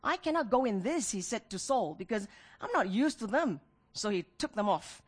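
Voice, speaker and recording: A woman's voice: medium pitch, very animated, moderate pace, slightly rough. A middle-aged woman preaching a sermon as a pastor, telling personal anecdotes and emphatically repeating phrases to her listeners.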